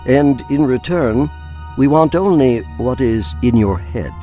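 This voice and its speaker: An actor playing a stern, ominous alien agent in an audio drama, speaking in a grave, measured, portentous manner. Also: male voice, mid-range voice, expressive, mellow, soft background music, close to an everyday voice. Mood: grave warning.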